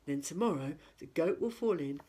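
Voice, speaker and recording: Lady doing a dim hungry troll guy, lowish and slow, kinda pleased with himself, storybook voice. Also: lively intonation, dark tone, slight background noise. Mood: plodding. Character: hungry male river troll, simple-minded.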